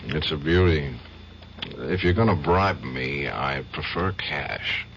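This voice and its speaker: An actor playing a bitter, sarcastic lawyer with a guilty conscience, in a 1970s radio drama. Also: male voice, rumbling low, expressive, slow, gravelly, a bit bright, exaggerated voice. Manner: dry and sarcastic, flaring up hotly. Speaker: defense lawyer. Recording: dull lo-fi old radio broadcast with faint hiss.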